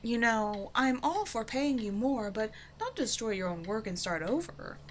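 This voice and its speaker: A woman's voice: on the high side, animated, moderate pace, natural voice. Flirty, self-assured villainess, acted by a woman, noisy background. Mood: confident, mildly exasperated. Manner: teasing, casual, self-assured. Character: flirtatious female supervillain, a demanding but generous tailor's customer. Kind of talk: roleplay audio drama, intimate one-sided dialogue to listener.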